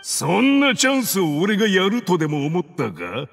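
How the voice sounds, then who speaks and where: A man's voice: sits high, wildly swooping pitch, moderate pace, gravelly, soft background music, exaggerated voice. A man playing a proud, defiant leader of desert mercenaries in an anime game, with a theatrical, commanding delivery. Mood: grim, resigned. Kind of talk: dramatic anime game voice acting.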